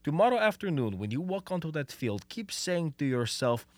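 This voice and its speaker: A man's voice: a little high, very animated, moderate pace, dry recording. A young man acting in a radio comedy as a confident, scheming who coaxes in wordy, stilted foreign phrasing. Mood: reassuring. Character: scheming, confident Russian male defector posing as an American. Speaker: student actor.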